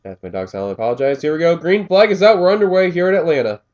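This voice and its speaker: A man's voice: a little high, lively intonation, very fast, a little mellow, highs cut off. A man with a slightly scratchy voice doing casual play-by-play commentary of a race, rambling with fillers and correcting himself as he goes.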